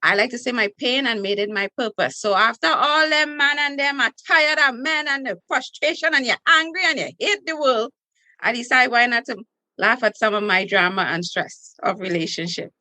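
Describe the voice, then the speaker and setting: A woman's voice: on the high side, moderate pace, bright, forceful tone, dry recording. A woman in her forties with a Trinidadian accent, a podcast guest talking in a brash, playful, boastful way. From Trinidad and Tobago. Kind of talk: podcast interview.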